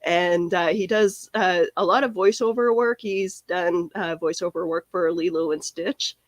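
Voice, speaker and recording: A woman's voice: fairly low pitch, unhurried, no room sound. Woman with a cracking cold voice. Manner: rambling, chatty, answers viewer comments. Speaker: live stream podcast host. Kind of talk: casual live stream chat.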